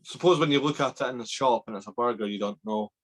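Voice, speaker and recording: A man's voice: medium pitch, fast, a bit bright. A young Scottish man in his twenties hosting a casual rugby podcast over a video call from a small bedroom, chatty and self-deprecating. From Scotland. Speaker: podcast host. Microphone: video call webcam.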